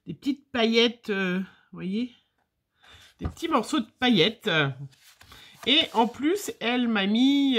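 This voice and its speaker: A middle-aged French woman with a slight cold, chatting casually and rambling with many fillers as she opens her mail for her viewers. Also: medium pitch. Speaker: scrapbooking vlogger. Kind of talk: casual chat, opening mail on camera.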